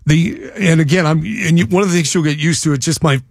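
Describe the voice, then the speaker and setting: Male radio analyst from Wisconsin, measured and thoughtful, dry studio sound. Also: on the high side, vocal fry, slightly rough, no echo. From Wisconsin, USA. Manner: thoughtful, works through points as he goes. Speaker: sports radio co-host. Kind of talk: casual sports analysis.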